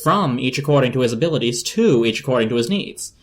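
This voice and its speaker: A man's voice: medium pitch, expressive, quick pace, lightly acted. A man voicing a breezy, glib talking radio in a dramatic storybook reading, speaking lightly and persuasively.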